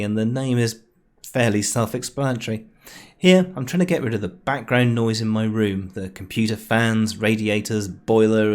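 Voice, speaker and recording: Guy with a fairly low voice doing a chatty screencast how-to, dry understated bits. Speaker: tutorial host. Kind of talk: screencast software tutorial, informal. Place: home room, voice-over recorded near computer.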